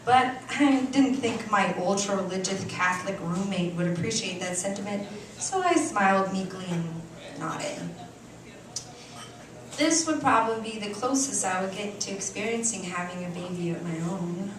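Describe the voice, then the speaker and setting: A woman performing a short story at a live reading in a cafe, with an expressive, deliberate, theatrical delivery and dramatic pauses. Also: medium pitch, crowd noise. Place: indoor cafe stage before an audience. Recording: echoey live venue, distant mic, room noise.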